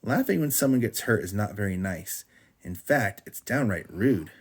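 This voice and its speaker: A man reading a storybook aloud to a child as a father does at bedtime, in an animated storytelling style. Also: lowish voice, moderate pace, slightly rough.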